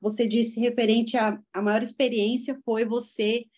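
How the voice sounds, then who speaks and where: A woman's voice: a little high, flat delivery, unhurried, darkish tone. A woman hosting a faith podcast, asking long questions and affirming her guest. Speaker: podcast host. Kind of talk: casual interview chat.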